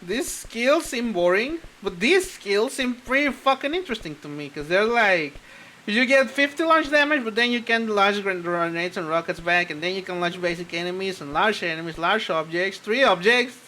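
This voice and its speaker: A man's voice: high voice, animated, normal speed, bright, forceful tone, a little hiss or hum. A man streaming a video game, chatting casually in a rambling, profane way with frequent tangents. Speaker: streamer, VTuber. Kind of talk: casual gaming commentary.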